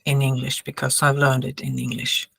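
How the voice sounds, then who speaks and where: Podcast host, woman with a Finnish accent, gravelly voice, dry close sound. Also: a bass voice, little pitch movement, normal speed, a bit bright, dry recording. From Finland. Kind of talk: casual interview chat.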